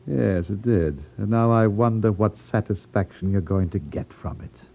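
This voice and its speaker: An actor playing a suave, sardonic racket boss with formal phrasing in a 1950s radio drama. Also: male voice, on the low side, moderate pace, creaky. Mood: cool menace. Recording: muffled 1950s radio recording.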